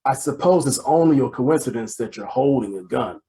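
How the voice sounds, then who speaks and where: A man playing a brusque, exasperated, wisecracking police detective in an old-time radio comedy mystery, gruff and sardonic. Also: mid-range voice, expressive, brisk, dark tone, natural voice. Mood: suspicious. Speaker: voice actor.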